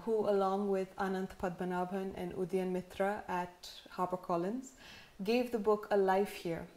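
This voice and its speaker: A woman in her thirties, heavily pregnant, delivering a sincere, measured acceptance speech with gentle humor, recorded at home in her living room. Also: medium pitch. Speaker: prize winner giving acceptance speech. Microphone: camera in front, seated framing.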